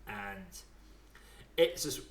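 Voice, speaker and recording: A man's voice: lowish voice, lively intonation, drawn-out words. A man hosting a podcast, chatting casually and informally about films and games, with some swearing. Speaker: podcast host. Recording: echoey room sound.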